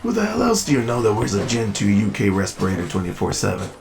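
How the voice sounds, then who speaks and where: Man acting deep, gravelly old wasteland scavenger. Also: huge pitch swings, quick pace, a crowd in the background, heavily acted. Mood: wry teasing. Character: weathered older male wasteland scavenger on a respirator. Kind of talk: sci-fi audio drama acting.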